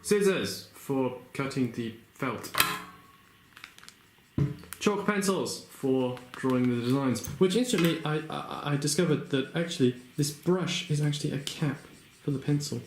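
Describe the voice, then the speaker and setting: Man, casual craft vlog narration, hesitant, middle pitch, roomy echo, faint background hiss. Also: animated, unhurried. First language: not Japanese. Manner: chatty, hesitant, lots of ums and uhs. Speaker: crafting vlogger. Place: home room, working at a table.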